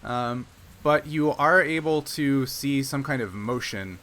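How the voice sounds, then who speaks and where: A man acting as game master, narrating a tabletop game and talking through rules casually, pausing as he works out rulings. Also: medium pitch, bright, forceful tone. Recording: clear background noise.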